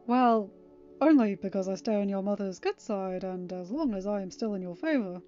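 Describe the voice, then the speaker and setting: A woman voicing a devoted, protective male chaos creature from a story, with theatrical, drawn-out emphasis and a wounded streak. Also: medium pitch, dark tone, close to an everyday voice. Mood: grateful, sincere. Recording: muffled lo-fi audio with music.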